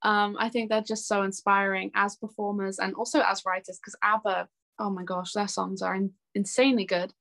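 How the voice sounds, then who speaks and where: Young female, informal interview guest, chatty and giggly delivery, mid-range pitch. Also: flat delivery, normal speed, creaky, a bit bright. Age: young adult. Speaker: interview guest, singer-songwriter. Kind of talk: casual chat.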